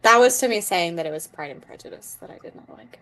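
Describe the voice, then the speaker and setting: A woman's voice: lowish voice, lively intonation, quick pace, fairly bright tone, a little hiss or hum. A woman speaking casually as a guest in an informal livestream chat with friends.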